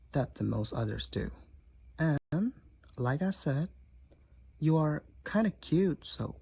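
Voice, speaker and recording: Male actor as candid demon college roommate for roleplay audio; raspy, slow-paced, teasing and blunt, speaking straight to the listener. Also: mid-range voice, dark tone, full character voice.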